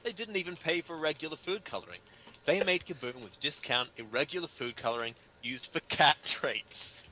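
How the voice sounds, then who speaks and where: A man performs a comic article in a bright, forceful voice with very lively intonation. His delivery is emphatic and sardonic, pitched as mock indignation. There is faint noise in the recording. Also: normal speed, low-quality recording. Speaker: reader performing a humor article. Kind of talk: dramatic comedic reading of an article.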